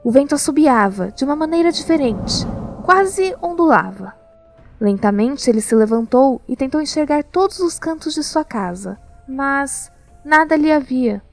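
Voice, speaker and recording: A fairly high-voiced woman with a Brazilian accent narrates an audio drama. She reads as a scripted storyteller, with faint music behind her. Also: lively intonation, moderate pace.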